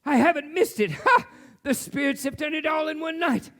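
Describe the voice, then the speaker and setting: A man's voice: very high-pitched, normal speed, fairly bright tone. A man on stage playing an elderly reformed miser in a theatrical radio play, exclaiming and repeating himself in fervent bursts. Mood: joyful excitement. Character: elderly reformed miser, frantic, giddy and overjoyed. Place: theatre stage before an audience. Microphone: shared stage microphone. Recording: live stage recording with music behind.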